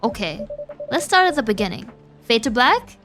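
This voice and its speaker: A young woman streaming a game, chatting to viewers in an excitable, giggly, talkative way. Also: a little high, moderate pace, soft background music. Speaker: VTuber streamer. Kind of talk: casual game-stream commentary.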